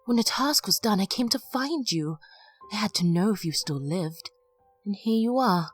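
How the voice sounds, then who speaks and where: A woman playing an ancient female planeswalker, a reproachful former pupil, in a dramatic audio drama with deliberate intensity. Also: medium pitch, animated, breathy edge, soft background music. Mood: reproachful, searching.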